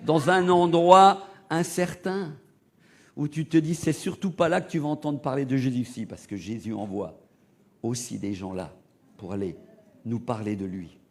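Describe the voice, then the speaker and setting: A middle-aged man from Portugal, preaching a sermon and telling his own testimony, rising to loud emphatic peaks and dropping to hushed low asides. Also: normal speed. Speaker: evangelist preacher.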